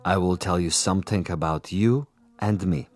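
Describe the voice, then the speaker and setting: An actor playing a suave, boastful assassin commissar in a radio drama, with pompous, precise and silkily polite diction. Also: male voice, sits low, normal speed. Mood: smug, menacing. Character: suave, boastful male assassin commissar, coolly menacing connoisseur.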